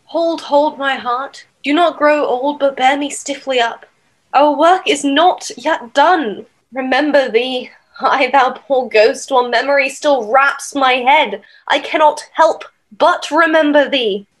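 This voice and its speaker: A brooding young royal heir played by a woman declaims in a high voice. The delivery is solemn, theatrical and vengeful, in the manner of a Shakespearean fantasy audio drama. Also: slow. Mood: anguished, vengeful.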